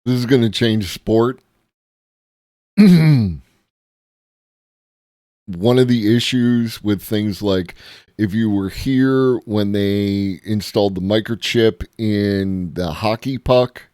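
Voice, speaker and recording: A man streaming live, reacting to a video in a musing, halting way with many ums. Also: fairly low pitch, dark tone, dry recording, studio quality.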